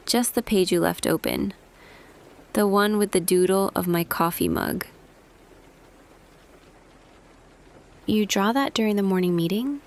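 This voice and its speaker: A woman playing a tender, quietly smitten office boss in an intimate ASMR roleplay, speaking softly and deliberately, often half whispering. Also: medium pitch, natural voice. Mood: gently teasing. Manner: slow, hushed, deliberate, pausing. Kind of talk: ASMR roleplay audio, intimate scripted monologue.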